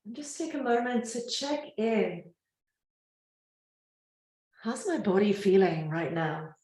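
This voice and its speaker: A woman teaching yoga nidra, guiding a relaxation gently and without hurry, with long pauses between phrases. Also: mid-range voice, sing-song, roomy sound. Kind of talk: guided relaxation meditation.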